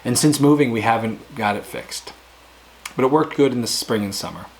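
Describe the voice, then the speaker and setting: A man hosting a homesteading Q&A, answering viewer questions in a casual, rambling way with a hoarse voice. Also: medium pitch, lively intonation, moderate pace, a little hiss or hum, rough recording. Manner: rambling, conversational, plain-spoken. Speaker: homesteading channel host.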